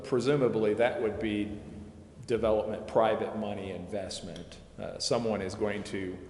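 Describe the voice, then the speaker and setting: A man explaining finance details at a city committee meeting, measured and full of fillers and restarts. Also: on the low side, flat delivery, darkish tone. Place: council chambers. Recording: echoey chamber with long reverb, faint noise.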